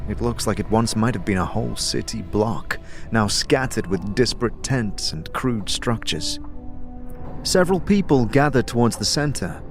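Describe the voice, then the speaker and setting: Low, expressive male storyteller. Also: normal speed, gravelly, soft background music. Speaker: narrator and lead player. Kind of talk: dramatic scripted narration.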